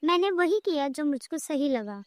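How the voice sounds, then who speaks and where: A woman's voice: high voice, lively intonation, normal speed, dry recording, studio quality, voice pushed down. A woman voicing a defiant, scheming midwife who talks back accusingly in a cartoon story.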